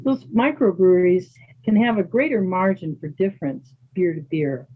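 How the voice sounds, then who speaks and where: Woman acting as older yoga teacher lady, calm n chatty, asks gentle questions, sounds like a phone line. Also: fairly low pitch, normal speed, breathy edge. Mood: musing. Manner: conversational, gently probing with sideways questions. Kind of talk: readers theater dialogue. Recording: thin phone-like band-limited sound.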